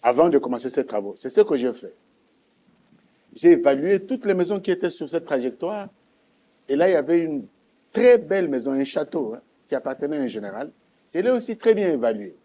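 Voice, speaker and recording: Mellow Congolese man giving testimony. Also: fairly high pitch, very animated, fairly quick.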